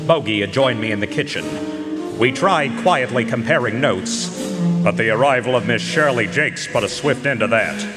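An actor playing a wry, hard-boiled private detective, narrating and questioning in a live radio drama. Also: male voice, medium pitch, moderate pace, close to an everyday voice. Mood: dry narration. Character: wry male private eye narrating his own case. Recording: reverberant hall, music underneath. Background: music.

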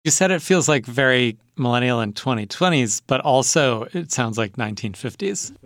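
A man interviewing in a casual, conversational way, thinking his questions out loud. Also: medium pitch, expressive, normal speed.

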